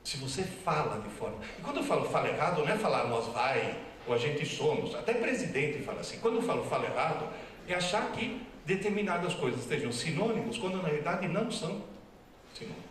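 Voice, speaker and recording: A male professor lectures with wry, teasing emphasis, his voice a touch high for a man. He sounds far from the mic, ringing through a very echoey hall with faint background noise. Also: big pitch swings, brisk. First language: Italian. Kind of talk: humorous public lecture. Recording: distant, very echoey hall recording.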